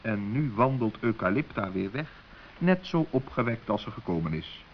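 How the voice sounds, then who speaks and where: A man's voice: lowish voice, lively intonation, normal speed, a little hiss or hum. A man narrating a scripted children's story as the narrator of a radio play. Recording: dull, muffled old radio recording.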